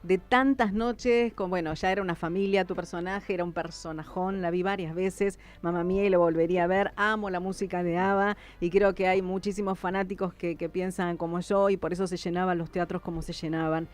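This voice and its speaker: A woman's voice: on the low side, steady tone, a little fast, few pauses. An Argentine woman co-hosting a radio interview, chatty and effusive as she asks her questions. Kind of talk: casual interview chat.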